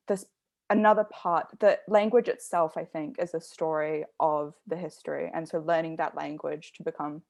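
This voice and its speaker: A woman's voice: lowish voice, darkish tone, no echo. A young adult woman, an artist, talking reflectively and explaining her ideas with care in a conversation. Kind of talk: thoughtful conversational talk.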